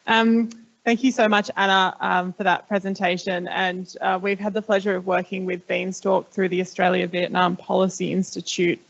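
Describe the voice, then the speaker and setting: Flat, gravelly woman moderating. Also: on the low side, moderate pace, highs cut off. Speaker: session moderator. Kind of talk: conference moderation.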